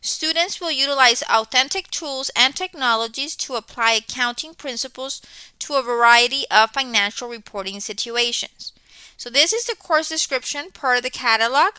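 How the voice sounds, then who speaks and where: A middle-aged woman teaches in a high, very bright voice with crisp, clean tone. She addresses students enthusiastically and explains things step by step, semi-scripted, in a dry close space. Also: normal speed, no echo. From born in Mexico, grew up in Texas, USA. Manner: enthusiastic, explanatory, often checking in with okay. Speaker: college accounting instructor. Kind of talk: welcome announcement to students, semi-scripted talk.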